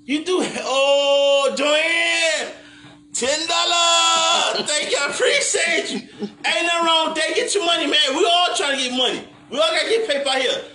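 High-pitched American man, slangy and profane. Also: normal speed, sharp tone. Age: middle-aged. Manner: slangy, profane, boastful, repetitive. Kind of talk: casual livestream banter. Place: living room, indoors. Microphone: phone across the room. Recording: echoey room, away from phone.

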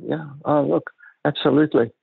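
Older male guest, gravelly and husky, measured and reflective, heard over a dry, tinny phone line. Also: fairly high pitch, fairly even intonation, dry recording. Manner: measured, thoughtful, halting with restarts. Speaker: guest psychiatrist. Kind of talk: reflective interview talk.

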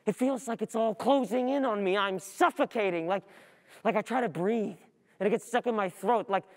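A young woman playing an overwhelmed, easily distracted student in a theatrical stage monologue, speaking in a halting, confessional way. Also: medium pitch, natural voice. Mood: anxious, wry. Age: young adult. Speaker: stage actor.

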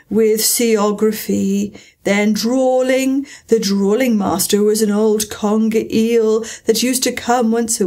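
A woman's voice: a little high, dark tone. A woman doing a sobbing, sighing Mock Turtle. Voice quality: slow, drawn-out, sighing. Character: mournful sobbing male Mock Turtle creature.

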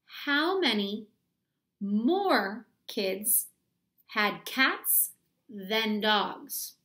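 A woman's voice: mid-range voice, sing-song, extremely slow, bright, forceful tone. A woman reads aloud to children as a teacher, explaining step by step and putting questions to them. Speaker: elementary school teacher reading aloud. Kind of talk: instructional read-aloud for children. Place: small room at home, indoors.

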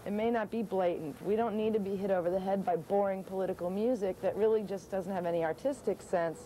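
An outspoken young woman musician talks bluntly and doesn't hold back her swearing. Her voice is mellow and of middle pitch. She speaks outdoors for a documentary interview. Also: fairly even intonation, fast talker, a little hiss or hum, rough recording. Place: outdoors beside a pale wall.

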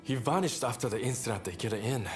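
An actor playing a courteous wounded samurai in a video game, speaking in an earnest, polite, measured manner. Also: male voice, mid-range voice, natural voice. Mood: earnest, regretful. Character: grateful, courteous wounded samurai activist recovering. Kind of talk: dramatic video game cutscene acting. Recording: game dialogue over clear background music.

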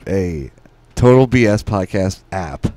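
Lively, rambling man on a podcast. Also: low-pitched, slow pace. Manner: rambling, profane. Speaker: podcast co-host. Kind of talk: casual podcast banter. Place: small studio room. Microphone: close podcast mic with headset.

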